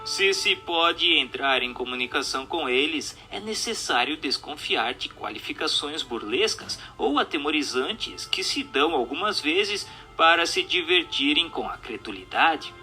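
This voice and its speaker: A Brazilian man narrates a book over faint music. His voice is very sharp and bright, with lively swings in intonation. The delivery is scripted, formal and emphatic. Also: a little high, measured pace, few pauses.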